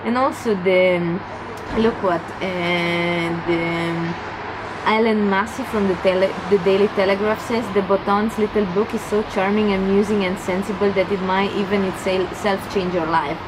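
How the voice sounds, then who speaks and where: A young woman from Romania with a Romanian accent, chatting through a casual book review in halting English with many restarts. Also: mid-range voice, steady tone. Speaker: book vlogger. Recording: clear background hum.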